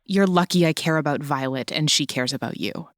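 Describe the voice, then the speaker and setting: A woman's voice: low-pitched, fairly quick, dry recording, lightly acted. A woman playing a blunt, sweary, determined government invigilator in an urgent crisis, in an audio drama. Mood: blunt, exasperated. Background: music.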